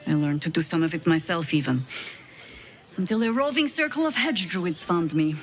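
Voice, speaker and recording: A woman's voice: lowish voice, expressive, a little hiss or hum, close to an everyday voice. Woman acting a wry, weary veteran adventurer woman. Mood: reflective, candid. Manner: wry, measured, self-deprecating. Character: weary, wry veteran high-ranking woman harper agent. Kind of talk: video game dialogue acting, reflective storytelling.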